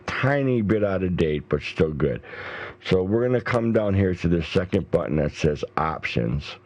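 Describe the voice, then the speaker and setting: A middle-aged man walking through software step by step in a casual, informal, explanatory voice-over. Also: low voice, animated, moderate pace. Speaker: software tutorial presenter. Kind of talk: casual step-by-step screen tutorial. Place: indoors, voice-over for a screen recording.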